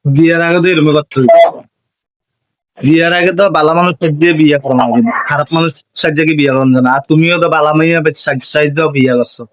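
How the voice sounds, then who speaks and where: A man's voice: a little high, fairly even intonation, very fast, no echo, rough recording. A young man with an eastern Bengali dialect, chatting casually in a teasing, repetitive way.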